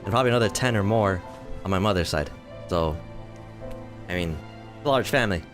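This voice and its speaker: A man in his twenties giving rambling, self-deprecating commentary while playing a game, his voice tired and a little hoarse. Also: low-pitched, fairly quick, music in the background. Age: 20s. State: voice tiring, slightly hoarse. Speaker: gaming video host. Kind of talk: casual let's-play commentary.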